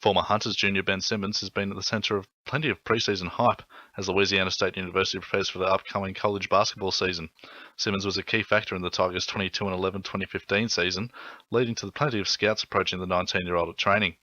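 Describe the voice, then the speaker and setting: A man's voice: low voice, fairly even intonation, fast, sharp tone, no room sound, muffled recording. A man with an Australian accent reading a scripted sports bulletin on the radio.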